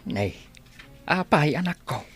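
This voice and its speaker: An actor playing an older, protective village father and grandfather in a radio drama, fretting in a husky voice. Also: a little high, lively intonation, slow pace, music in the background. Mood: concerned. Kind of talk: Ilocano radio drama acting.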